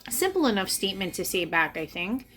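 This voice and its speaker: A woman's voice: mid-range voice, big pitch swings, a little fast, fairly bright tone, faint music behind. A woman in her late thirties talking to camera, earnest and confessional, repeating phrases for emphasis as she reflects on her own life. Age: late 30s. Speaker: spiritual vlogger. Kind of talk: personal reflective monologue to camera. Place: indoors, in front of a camera.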